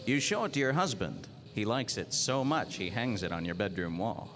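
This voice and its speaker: Guy doing low hard-boiled 40s radio gumshoe, pitch swings around, cynical and slangy, music under him. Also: lively intonation, moderate pace, close to an everyday voice. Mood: businesslike. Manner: terse tough-guy slang, wry. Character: world-weary hard-boiled 1940s noir detective, cynical and blunt. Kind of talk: 1940s radio noir acting and narration.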